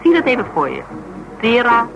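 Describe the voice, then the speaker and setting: A woman's voice: on the high side, very animated, normal speed, creaky, lo-fi sound, over music. Dutch woman in a radio play, stubborn, emphatic, kinda teasing, talks colloquial and argues point by point. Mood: insistent. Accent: Netherlands Dutch. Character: outspoken woman reasoning stubbornly with a fanatic cop. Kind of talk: naturalistic radio drama dialogue.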